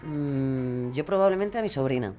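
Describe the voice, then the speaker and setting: Podcast guest, woman, Spain accent. Very low register, expressive melody, casual emphatic banter. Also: normal speed, over loud music. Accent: Spain Spanish. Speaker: podcast guest, true crime narrator. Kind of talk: casual chat.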